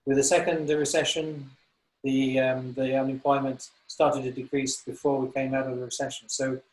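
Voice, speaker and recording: A man in his sixties with an English accent, a business consultant answering interview questions in a thoughtful, halting way with many fillers and restarts. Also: mid-range voice, little pitch movement, roomy sound, poor audio. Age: 60s.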